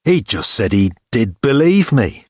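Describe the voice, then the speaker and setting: A man's voice: mid-range voice, very animated, unhurried, husky, dry recording, clean studio sound. A man voicing a short, wiry college porter in an audiobook, chatty, rambling and sure of himself. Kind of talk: audiobook character dialogue.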